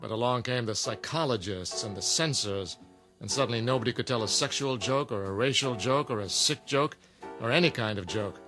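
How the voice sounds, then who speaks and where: A man playing a dry, earnest jester who leads an outlaw club, speaking in a measured, courteous way in an audio drama. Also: mid-range voice, music in the background, close to an everyday voice.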